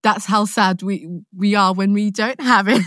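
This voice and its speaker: A woman's voice: mid-range voice, steady tone, a little slow, a bit bright, no room sound, clean studio sound. A young woman with a London accent chatting casually and expressively, telling stories in a candid, slangy way. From London, England. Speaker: podcast guest, actor. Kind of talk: casual chat, animated storytelling.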